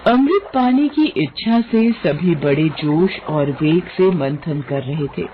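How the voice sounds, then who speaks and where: Slow, expressive woman storyteller. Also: sits low, lo-fi sound, noisy background. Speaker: narrator. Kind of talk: scripted mythological storytelling narration.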